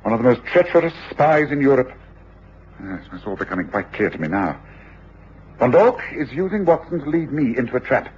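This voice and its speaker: An actor playing a brilliant, commanding English detective in a radio drama, speaking precisely and deliberately with an English accent. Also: male voice, medium pitch, sing-song, husky. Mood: grave, intent. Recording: muffled, noisy old radio drama recording.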